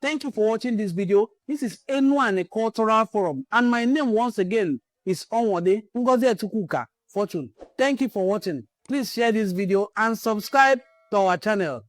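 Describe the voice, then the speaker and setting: A woman's voice: mid-range voice, expressive, normal speed, few pauses, no room sound. Rallying Nigerian woman, dry close sound. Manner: rallying, repetitive exhortations. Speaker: cultural channel host. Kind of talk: impassioned cultural address.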